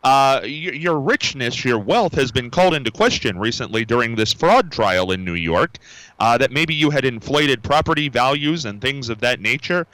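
A male radio host talks into a studio microphone in a dry, close sound. His voice is bright and lively in pitch. He hesitates and stammers often, restarting his sentences. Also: normal speed, dry recording, poor audio. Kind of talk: mock interview on radio.

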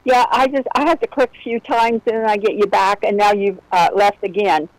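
A woman's voice: medium pitch, fairly even intonation, fairly quick, no room sound, poor audio. A woman calling in to a radio show, talking in a meandering, chatty way with hesitations. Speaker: caller. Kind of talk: casual phone chat.

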